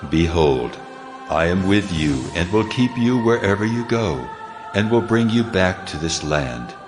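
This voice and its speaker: An actor playing God, solemn and declarative, in a dramatized scripture reading. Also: male voice, deep voice, very animated, measured pace, over music. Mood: solemn, majestic. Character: God, a solemn male deity speaking promises.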